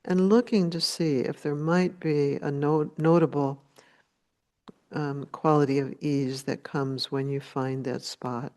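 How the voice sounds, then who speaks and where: Slow-paced woman guiding a meditation. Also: deep voice, steady tone. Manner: gentle, unhurried, with long pauses. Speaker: meditation teacher. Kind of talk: guided meditation.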